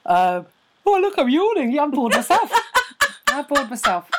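A tired woman co-hosting a casual podcast, with a lively, swooping voice that jumps around as she talks. She rambles and restarts, laughing at herself, recorded on a laptop with no proper microphone. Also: sits high, normal speed, very noisy. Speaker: podcast co-host and comedian. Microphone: recorded without a microphone, on a laptop.

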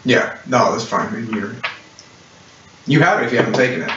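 A man acting as game master, describing scenes in a halting, explanatory way for a tabletop role-playing game. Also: medium pitch, expressive. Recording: roomy, muffled distant mic with clicks, hiss.

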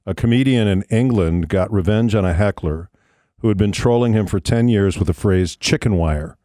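A man's voice: fairly low pitch, moderate pace, warm tone, no echo, clean studio sound. A man hosting a radio show, easygoing and laughing along as he tells a story. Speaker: radio host. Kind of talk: casual radio interview.

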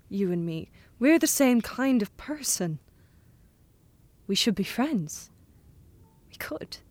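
A woman's voice: medium pitch, dark tone, no room sound. Woman playing a needy, pushy teenage boy. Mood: pleading, urgent. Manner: insistent, pushy. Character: needy, manipulative teenage boy, lonely and defiant. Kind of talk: naturalistic audio drama acting.

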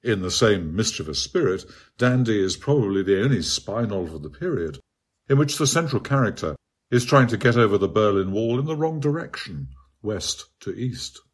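A man reads his own literary essay aloud as scripted narration, in a measured, articulate and bookish manner. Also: fairly low pitch, sing-song, breathy edge. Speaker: author reading own article.

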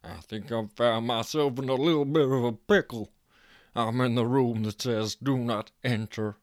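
A man's voice: medium pitch, fairly even intonation, normal speed, no echo, studio quality, natural voice. A man voicing a brash, boastful adult man who swears and talks in a folksy drawl. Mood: fretful.

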